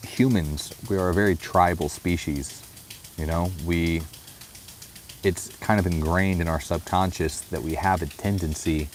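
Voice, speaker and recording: A man with a very low, deep voice reflects aloud in a personal vlog. He speaks in a thoughtful, unhurried way, often stopping to add "you know". Soft music plays faintly behind him. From United States. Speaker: vlogger.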